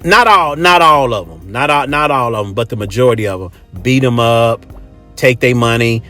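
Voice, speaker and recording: A man's voice: mid-range voice, animated, normal speed, bright, forceful tone, soft background music. An American man hosting a casual, opinionated monologue, speaking bluntly and emphatically with swearing and repeated phrases. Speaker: host. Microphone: close microphone.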